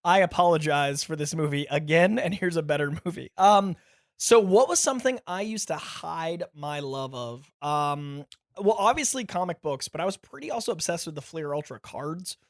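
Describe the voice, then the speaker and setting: A man with a naturally high voice co-hosting a podcast, talking in an enthusiastic, earnest casual way. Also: expressive, moderate pace, no room sound, clean studio sound. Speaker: podcast co-host. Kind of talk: casual chat.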